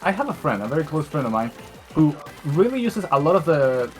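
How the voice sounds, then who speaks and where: A man's voice: fairly high pitch, sing-song, brisk, darkish tone, soft background music. A man whose first language is not English, chatting animatedly on a gaming stream and often searching for words. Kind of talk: casual gaming chat.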